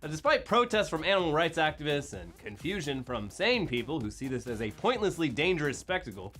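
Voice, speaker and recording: Deadpan male comedy news co-host. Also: a little high, a little fast, soft background music. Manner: dry, deadpan jokes. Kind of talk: scripted comedy news banter.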